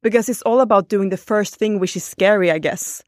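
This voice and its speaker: A woman's voice: medium pitch, brisk, no echo, clean studio sound. A woman, chatting casually on a podcast and thinking aloud with fillers.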